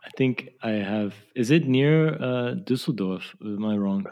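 A man's voice: medium pitch, unhurried, lo-fi sound. A man interviewing a guest in casual conversation, with frequent short acknowledgements.

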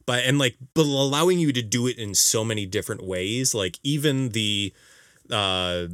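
A man co-hosting a casual video game podcast, talking emphatically with halting explanations and exasperated teasing. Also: mid-range voice, fairly bright tone, no echo, studio quality. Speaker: podcast co-host.

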